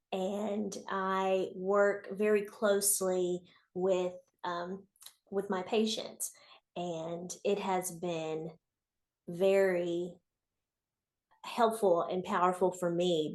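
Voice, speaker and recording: A young woman who works as a nurse, speaking earnestly on a video call from home. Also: mid-range voice. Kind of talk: interview answers. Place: home room. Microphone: video call webcam.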